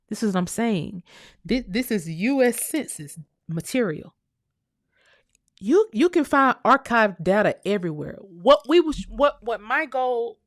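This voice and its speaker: Casting: fervent woman commentator, mid-pitched, recorded dry and close. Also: no room sound. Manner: fervent, preachy, repeats phrases, swears freely. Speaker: commentary channel host. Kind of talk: impassioned commentary monologue.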